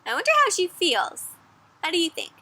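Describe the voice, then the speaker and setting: A young woman reading a picture book aloud to children in a lively way, gasping and asking her listeners questions. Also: very high-pitched. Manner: expressive, asks children questions, gasps and dramatic pauses. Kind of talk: animated children's read-aloud.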